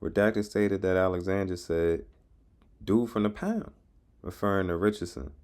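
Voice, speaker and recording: A man's voice: sits low, animated, normal speed, a little mellow. An American man hosting a channel, casually commenting and reading documents aloud with frequent hesitations and street slang. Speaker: YouTube channel host.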